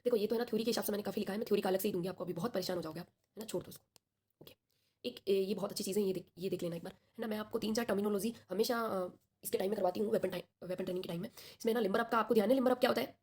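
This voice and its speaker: A woman teacher talks very fast in a husky, slightly breathy voice, sore-throated and chatty. She reassures her students and keeps checking in with them over a video call. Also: medium pitch, darkish tone. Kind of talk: online class coaching students for an exam.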